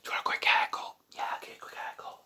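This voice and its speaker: A man's voice: rapid-fire, bright, forceful tone. A man whispering an ASMR vlog at home at night, rambling and mocking himself. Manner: rambling, self-mocking, playful. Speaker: ASMR vlogger.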